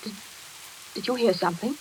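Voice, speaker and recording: A woman's voice: mid-range voice, a little fast, natural voice, poor audio. An actress playing a caring but nervy young woman in a 1940s radio drama. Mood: frightened. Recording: hissy old radio broadcast with rain.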